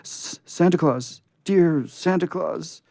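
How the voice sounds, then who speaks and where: Man as storybook scarecrow, timid and kindly, raised pitch, halting, tearful. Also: creaky, a little mellow, no room sound. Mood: pleading, tearful. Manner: halting, sobbing delivery. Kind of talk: storybook character voice in read-aloud.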